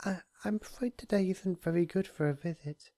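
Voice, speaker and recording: A man voicing a gentle, apologetic young female pony in a storybook reading, hesitant, stammering and soft-spoken. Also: high voice, moderate pace, dry recording, studio quality, pitched above the performer's own voice. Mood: timid, apologetic. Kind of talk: storybook character voice.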